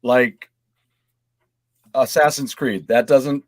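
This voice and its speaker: A man's voice: medium pitch, steady tone, takes it slowly, no echo, studio quality. A man co-hosting a casual gaming panel chat, talking in a rambling, conversational way. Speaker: co-host of a gaming live stream.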